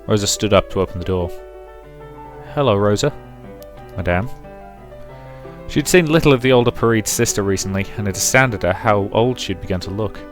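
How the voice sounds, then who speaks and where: A man's voice: on the low side, quick pace, hardly any pauses, soft background music. A man doing a let's play, reading a visual novel aloud and dropping in casual, chatty asides.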